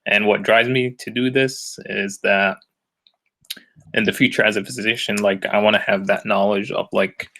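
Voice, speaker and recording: A man's voice: fairly low pitch, little pitch movement, moderate pace, vocal fry, sharp tone. A man in his twenties giving a casual, somewhat hesitant self-introduction. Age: 20s. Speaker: EMT and recent biology graduate.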